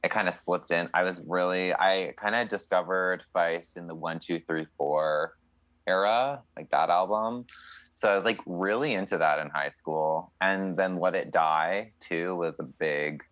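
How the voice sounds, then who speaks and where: A man's voice: low voice, a little slow, fairly bright tone, no room sound. A young man from Canada, a country singer, answering interview questions in a chatty, candid way with many fillers.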